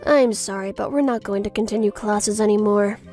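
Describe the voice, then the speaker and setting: A woman's voice: mid-range voice, brisk, warm tone, lightly acted. Manga dub role: self-important woman teacher, pointed delivery female performer, music bed. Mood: cold. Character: boastful female schoolteacher turned cold and accusing.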